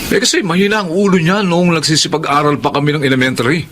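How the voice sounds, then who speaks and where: A man's voice: a little high, expressive, fast, a bit bright. An actor playing a lazy, boastful young man who gambles, scams and threatens his partner, in a radio drama. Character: hot-tempered lazy young man, small-time scammer and gambler. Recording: clear background noise.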